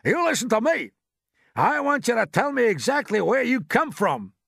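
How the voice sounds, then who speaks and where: A man voicing a bossy, alarmed chief of police in a storybook, with loud, drawn-out exclamations. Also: a little high, very animated, gravelly, husky, no room sound, studio quality, pitched above the performer's own voice, full character voice. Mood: commanding.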